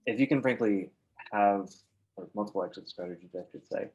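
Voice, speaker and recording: A man with a somewhat low voice hosts a casual interview, asking questions in a relaxed, chatty way. He grew up in Oregon and works as a real estate agent. His talk is loose, with frequent fillers and restarts. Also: brisk, breathy edge, lo-fi sound. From Oregon, USA.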